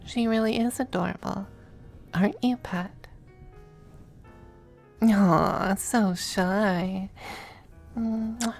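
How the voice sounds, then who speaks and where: A woman voicing a suave, possessive demon femboy in an ASMR roleplay, with genteel, teasing endearments and a breathy voice that dips into whispers. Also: mid-range voice, steady tone, very slow, long pauses, darkish tone, faint music behind. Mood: languid, teasing. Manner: genteel, languid, commanding endearments.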